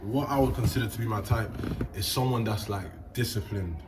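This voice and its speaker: A young man, hosting a relaxed chat in slangy talk. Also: brisk, noisy background. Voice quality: deep voice. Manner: laid-back slangy talk, animated bursts. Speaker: host. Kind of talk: casual interview chat.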